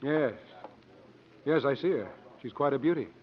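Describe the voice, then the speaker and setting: A man's voice: mid-range voice, moderate pace, lightly acted. An actor playing a shrewd, burly travelling strongman of thirty, folksy and wry, in 1950s radio drama style. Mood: wry, watchful. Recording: dull, noisy old 1950s radio recording.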